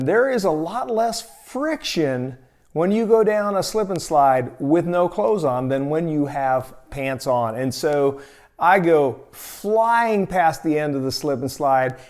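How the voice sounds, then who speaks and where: An American man telling a personal story in a casual, folksy, expressive and conversational way as a storytelling host. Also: on the high side.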